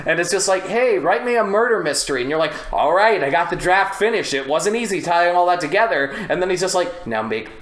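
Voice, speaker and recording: Male podcast raconteur, fairly high voice with lively swings, casual and foul-mouthed, recorded in an echoey room. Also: brisk, hardly any pauses. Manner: sweary, animated raconteur. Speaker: podcast panelist. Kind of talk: casual storytelling in a film discussion.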